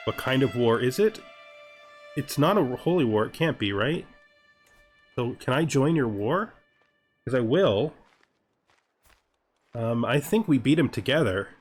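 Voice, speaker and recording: A man's voice: medium pitch, animated, measured pace, pauses often, slightly rough. Man thinking aloud over game music. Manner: deliberative, repeats himself, often checks with "right?". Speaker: gaming host playing a strategy game. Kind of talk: thinking-aloud gameplay commentary, part in character.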